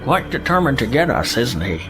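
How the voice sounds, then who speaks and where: A man's voice: medium pitch, very animated, a little fast, music in the background, natural voice, rough recording. A man playing a sly, sheepish troublemaker in a sci-fi audio drama, muttering hesitantly. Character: sheepish male troublemaker, sly and cowed by scolding.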